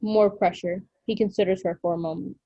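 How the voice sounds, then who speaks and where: A woman's voice: medium pitch, steady tone, fairly quick, dry recording, dull audio. A woman narrating the stage directions of a script at a table read.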